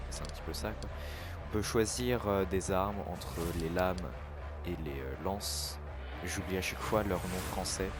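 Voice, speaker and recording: A man's voice: deep voice, background noise. A young man casually commenting while playing a video game, chatty, self-mocking and swearing freely. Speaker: gaming YouTuber. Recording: loud game music behind.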